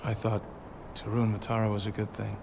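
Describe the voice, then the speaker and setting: Terse young fighter: low, gravelly, quick delivery. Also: male voice, completely flat delivery, noisy background. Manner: terse, plain-spoken. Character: young male guerrilla fighter. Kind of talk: video game cutscene dialogue.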